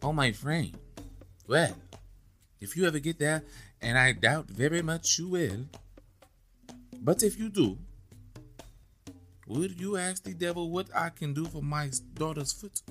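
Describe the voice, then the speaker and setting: A man's voice: fairly high pitch, animated, a little slow, pauses often, fairly bright tone, soft background music, pitched above the performer's own voice. A man voicing a worried old king and father, doubtful and pleading.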